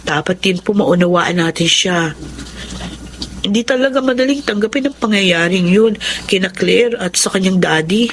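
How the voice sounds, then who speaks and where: An actress playing an understanding, caring elder sister in a Filipino radio drama, with a gentle, sympathetic delivery. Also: female voice, mid-range voice, normal speed, a little hiss or hum, lightly acted. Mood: concerned.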